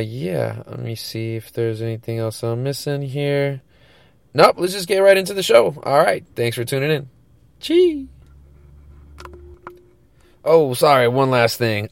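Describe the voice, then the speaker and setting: A man's voice: mid-range voice, gravelly, clear, resonant tone, dry recording. Congested laid-back male podcast host. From California. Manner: loose, laid-back, slangy, many fillers. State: stuffed up, congested. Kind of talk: casual chat.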